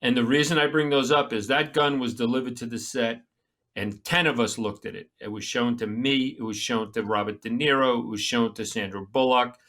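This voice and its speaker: A man with a bright, forceful tone talking casually and bluntly. He tells stories in a crude, plain-spoken way, and his pitch sits in the middle of the range. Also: steady tone, brisk. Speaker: podcast co-host, actor. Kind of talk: casual chat.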